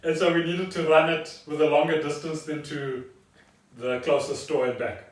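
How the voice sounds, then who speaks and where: A man's voice: on the high side, steady tone, moderate pace. A man chatting casually as a podcast co-host at home. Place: indoors at home. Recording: roomy, distant from the mic.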